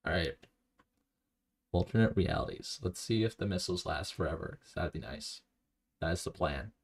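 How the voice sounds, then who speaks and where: A man streaming a video game, talking to himself in a rambling, low-key way and reading chat aloud. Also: moderate pace, vocal fry, husky. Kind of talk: casual live-stream commentary while playing.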